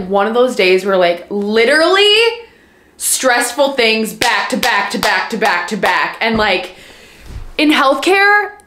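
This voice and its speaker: A young woman with a Minnesota accent talking casually as a book vlogger, animated and gushing, with lots of fillers, in her living room. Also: a little high. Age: young adult. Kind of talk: casual chat.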